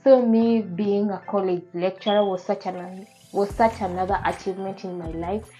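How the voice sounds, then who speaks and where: Mellow-voiced Kenyan woman vlogger. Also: mid-range voice, steady tone, normal speed, soft background music, rough recording. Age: 20s. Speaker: beauty and lifestyle vlogger. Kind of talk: casual chat to camera.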